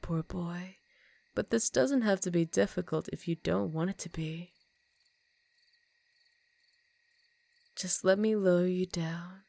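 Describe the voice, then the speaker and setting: Woman acting as a older vampire countess for asmr, lowish voice, very dry close sound, slow teasing predatory, half whispery. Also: creaky, darkish tone, dry recording. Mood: amused, possessive. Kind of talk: ASMR roleplay.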